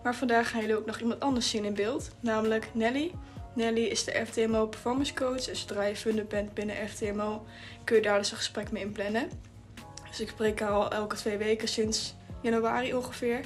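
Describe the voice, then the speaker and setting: A woman's voice: a little high, fairly even intonation, brisk, faint music behind. A young woman from the Netherlands with a Dutch accent, chatting casually as a video host. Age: young adult. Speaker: YouTube host and trader.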